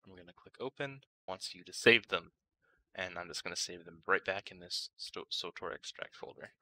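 A man narrating a casual step-by-step software tutorial, informal and thinking aloud as he works. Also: deep voice, normal speed, rough recording. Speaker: tutorial host.

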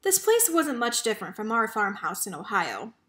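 A woman reading a children's picture book aloud as narrator, with expressive storytelling. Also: on the high side, normal speed.

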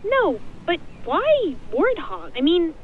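A woman's voice: very high voice, huge pitch swings, slow, narrow, tinny audio, heavily acted. A woman voicing a sardonic, reluctant slacker army private who argues casually, in a fan parody cartoon dub. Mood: argumentative. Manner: casual, sarcastic.

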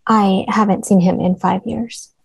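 Woman reading a creaky, anxious young mother. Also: medium pitch, fairly even intonation, unhurried, darkish tone. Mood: hesitant. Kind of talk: online table read of a screenplay. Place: indoor room, video call.

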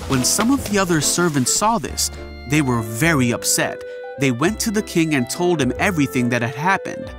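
A man reading the scripted narration of a children's Bible cartoon, telling the story in an even, clear way. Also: on the high side.

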